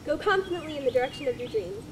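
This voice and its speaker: A woman playing a dry, wry old-time gentleman writer. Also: extremely high pitch, sing-song, racing, exaggerated voice. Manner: wry, teasing, formal old-fashioned speech. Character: dry, skeptical older male writer. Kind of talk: amateur dramatic reading. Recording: noisy amateur recording.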